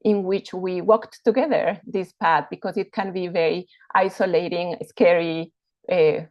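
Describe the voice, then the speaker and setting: Lower-voiced woman from Ecuador, forties, reflective and halting, dull video-call sound. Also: steady tone, no room sound. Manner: thoughtful, searching for words, many fillers. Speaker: educator and homeschooling parent. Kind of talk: casual conversational introduction.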